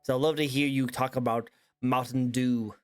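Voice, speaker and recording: A man hosting a casual interview, chatty and quick to laugh. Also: mid-range voice, fairly even intonation, soft background music. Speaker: interview host.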